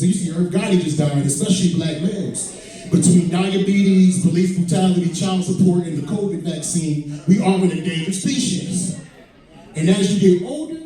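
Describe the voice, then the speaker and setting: A man's voice: sits high, warm tone. A man in his mid-forties performing stand-up comedy to an audience, with profane, conversational storytelling. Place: indoor comedy venue. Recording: very echoey live room, distant mic.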